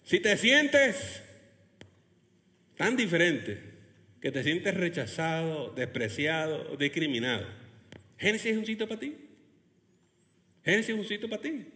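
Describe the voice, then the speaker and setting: Animated male pastor, high-pitched and expressive, preaching in a big echoing hall. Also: a little slow, pauses often. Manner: animated preaching with laughs and acted quotes of churchgoers. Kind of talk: lively sermon. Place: church hall, Texas. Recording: long hall reverb.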